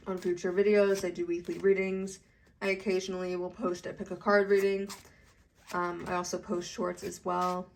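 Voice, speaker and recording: A woman's voice: medium pitch, creaky, slight background noise. A young adult woman giving a casual tarot reading to camera, chatty and full of "okay?" check-ins, her voice a little congested from allergies. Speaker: tarot reader.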